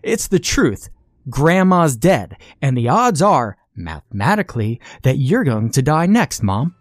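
A man's voice: low-pitched, unhurried, a little mellow, no room sound, clean studio sound. A man voicing a sullen, morbid boy who talks with mocking sarcasm. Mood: defiant.

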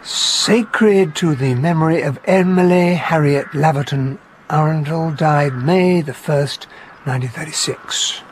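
An actor playing an affable English gentleman, a detective's genteel, easygoing companion, narrating and acting in a radio drama with an English accent. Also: fairly high pitch, unhurried, slight background noise, lightly acted, low-quality recording. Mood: wry narration.

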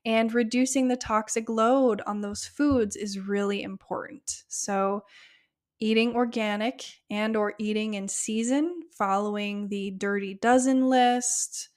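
A woman hosting a solo health podcast, her voice a bit hoarse and giving out, talking casually through lists with small fillers. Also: mid-range voice. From United States. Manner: conversational, list-like explanations with fillers. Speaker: podcast host, gut health practitioner.

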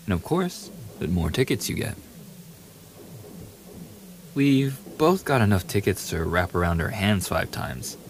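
A man's voice: on the low side, unhurried, long pauses, soft background music. A man playing a famous young pop idol boyfriend in an ASMR audio roleplay, playful, affectionate and eager, teasing the listener in a casual, intimate way. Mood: gentle, teasing. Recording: steady hiss behind the voice.

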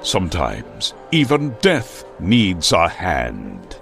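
An actor playing a grandiose, vain, aging masked vigilante hero in old-time radio style, pompous and melodramatic. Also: male voice, low-pitched, wildly swooping pitch, drawn-out words, vocal fry, fairly bright tone, soft background music, heavily acted. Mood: menacing.

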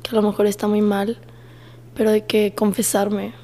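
A woman in her twenties with a Mexican accent, hoarse and nearly voiceless, chatting casually as a podcast co-host in two mixed languages. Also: medium pitch, completely flat delivery, moderate pace, warm tone, a little hiss or hum. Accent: Mexican Spanish. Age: 20s. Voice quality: breathy, cracking into whisper. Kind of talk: casual chat, mixing Spanish and English.

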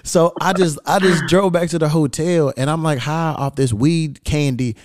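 A man's voice: on the high side, fairly even intonation, normal speed, background noise. A man hosting a podcast, telling stories in a slangy, profane, laid-back way. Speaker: podcast host. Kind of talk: casual podcast conversation.

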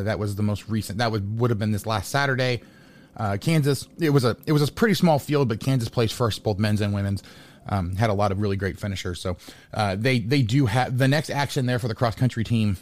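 A man's voice: lowish voice, no room sound. A man hosting a sports podcast in casual talk, rambling, often repeating words and restarting his sentences.

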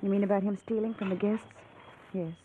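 A woman's voice: mid-range voice, flat delivery, brisk, narrow, tinny audio, lightly acted. Thin, faintly noisy vintage radio sound frames an actress playing an anxious young woman in a mystery play. She is earnest and vulnerable, a frightened soul who wants a way out. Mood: matter-of-fact. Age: young adult. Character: frightened, pleading young woman desperate to escape. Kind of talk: old-time radio drama acting.

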